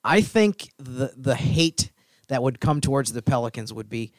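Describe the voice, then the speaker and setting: A man talks sports as a podcast co-host in a close, dry recording. His pitch sits in the middle, and he explains things in a measured, slightly hesitant, conversational way. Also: animated, no room sound. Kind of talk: casual sports chat.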